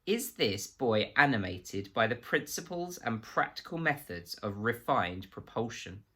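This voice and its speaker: A man voicing a softly menacing, coldly polite male official who questions with formal, conversational precision in a storybook reading. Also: mid-range voice, very animated, unhurried, breathy edge, full character voice. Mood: cold, pressing.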